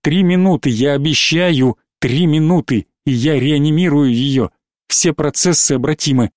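A man voicing a calm, cold and firm male doctor in an audiobook, speaking level and unhurried. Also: on the high side, no echo, studio quality, lightly acted. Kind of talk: audiobook reading.

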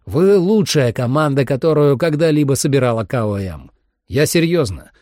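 A man's voice: medium pitch, expressive, dark tone, no echo, studio quality, voice pushed up, close to an everyday voice. A man voicing a veteran monster hunter commander in an audiobook, solemn and emphatic, like an orator. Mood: solemn pride. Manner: deliberate, emphatic, rousing oratory.

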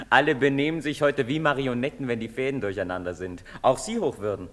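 An actor playing a restless, defiant young outcast in a sardonic, intense theatrical manner. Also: male voice, mid-range voice, moderate pace, lightly acted. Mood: mocking. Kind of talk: theatrical stage-play acting. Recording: long reverberant hall sound.

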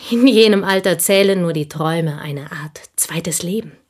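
An actress as a husky, bitter confiding woman. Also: on the low side, moderate pace, close to an everyday voice. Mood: scornful, intense. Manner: confiding, intense, often dropping into breathy whispers. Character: plain, bitter woman confiding long-held envy and secret control. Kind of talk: German radio play dialogue, intimate monologue.

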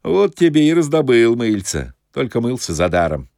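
A man's voice: mid-range voice, very animated, normal speed, vocal fry, darkish tone, no room sound, studio quality. A man voicing a disgruntled, grumbling bathhouse customer with a rustic, griping manner, in an audiobook. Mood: exasperated.

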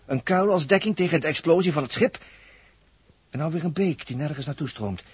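A man acting an excitable, wry spaceship crewman in a 1960s radio drama, husky, repeating himself in exclamations. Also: a little high, fairly quick, no room sound. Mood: bewildered.

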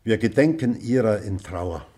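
A man playing an old retired schoolmaster in a radio play, speaking formally, with measured, authoritative oratory. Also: lowish voice, lively intonation, dark tone, natural voice. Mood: solemn, mournful. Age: older. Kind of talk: radio play acting, speech to a class.

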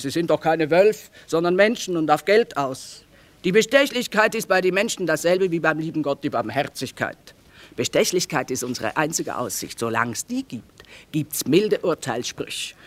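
Tough middle-aged trader mom in a radio drama, blunt haggler, earthy. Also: female voice, sits low, no room sound. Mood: urgent, scolding. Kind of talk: German radio play acting.

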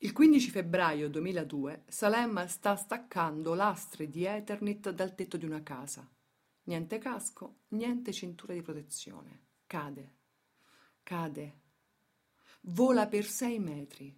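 A grave female storyteller in a radio play. Her voice sits low, and she phrases each line in a measured, clipped way, leaning on key words with dramatic weight. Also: animated, darkish tone, exaggerated voice. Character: grave female storyteller recounting workers' tragedies. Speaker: stage and radio actress. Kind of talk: dramatic scripted radio theatre narration.

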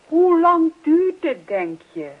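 An actress playing a nagging, neglected wife in a radio play, calling out with persistent, reproachful questions. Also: female voice, very high voice, big pitch swings, slow, full character voice. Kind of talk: Dutch radio play acting. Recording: dull lo-fi old radio recording.

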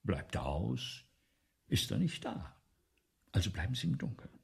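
An actor playing a vain, excitable playwright in a German radio play, with a pompous, theatrical, lecturing manner. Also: male voice, mid-range voice, measured pace, vocal fry, slightly rough, heavily acted. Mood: scheming, bossy. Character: vain, excitable, self-dramatizing 19th-century male playwright.

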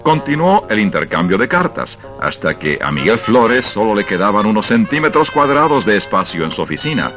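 A man narrating a dramatized short story for radio in an expressive, measured storytelling style. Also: fairly low pitch, music in the background.

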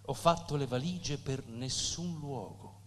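An actor playing a tormented, nihilistic poet who confesses with solemn emphasis and dramatic pauses in a theatrical style. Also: male voice, medium pitch, animated, fairly bright tone. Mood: despairing. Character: tormented, nihilistic male poet Alvaro de Campos, passionately confessing. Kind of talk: theatrical stage acting. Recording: reverberant hall with faint hum.

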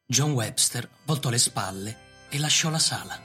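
A man with a medium-pitched voice narrates a scripted radio drama. Music plays faintly behind his storytelling. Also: lively intonation, moderate pace, bright, forceful tone.

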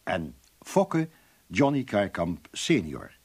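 A male announcer reading credits in a husky voice. His intonation swings widely and his pace is measured, at a middle pitch. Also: huge pitch swings, darkish tone. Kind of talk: scripted credits narration.

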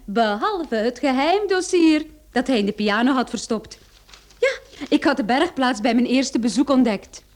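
An actress playing a sharp, self-assured private detective in a radio play, speaking briskly and confidently as she explains. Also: high voice, lively intonation. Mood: confident, explaining. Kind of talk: Dutch-language radio play acting.

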